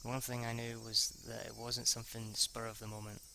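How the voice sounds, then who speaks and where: A man reading a visual novel aloud as an amateur, haltingly, stumbling and correcting himself with the odd swear word as an aside. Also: mid-range voice, completely flat delivery, vocal fry, bright, forceful tone, slight background noise, rough recording. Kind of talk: amateur read-through of a story.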